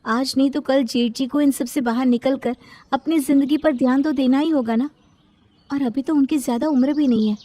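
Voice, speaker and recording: A woman's voice: high-pitched, steady tone, moderate pace, dark tone, no room sound. A woman voicing a sympathetic, persistent young sister-in-law in a storybook tale, respectful and pleading. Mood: hopeful, reasoning.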